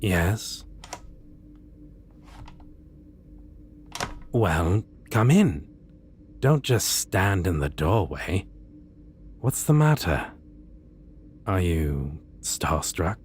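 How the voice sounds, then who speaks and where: A man's voice: low-pitched, sing-song, very slow, long pauses, slight background noise, heavily acted. A man playing a theatrical, suave and condescending costumed supervillain in an ASMR roleplay. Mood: amused, smug. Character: theatrical, stately, amused supervillain in costume interviewing a minion.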